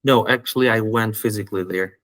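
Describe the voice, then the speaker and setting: A man from North Macedonia, with a fairly low voice, answers a host's questions. His replies are short and hesitant, with pauses and fillers. The recording is dry. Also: a bit bright, no echo. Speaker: guest, student seller. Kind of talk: interview answers.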